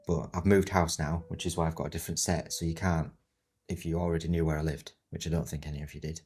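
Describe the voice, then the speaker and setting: A man in his thirties hosting a video tutorial, croaky from a cold, talking in a rambling, joking, self-mocking way. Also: very deep voice, little pitch movement, quick pace, darkish tone, soft background music. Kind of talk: casual chatty tutorial. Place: home studio set in a new house.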